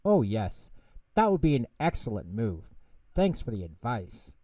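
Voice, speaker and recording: Brief: man as small sulky mouse, bedtime tale; huffy, dramatic, very expressive, slightly off-mic. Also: medium pitch, a little slow, dry recording, far from the mic. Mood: huffy, boastful.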